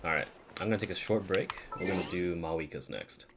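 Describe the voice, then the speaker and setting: Low, creaky-voiced game streamer over music. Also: fast, noisy background. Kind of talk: casual livestream chat while playing. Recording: music playing behind the voice.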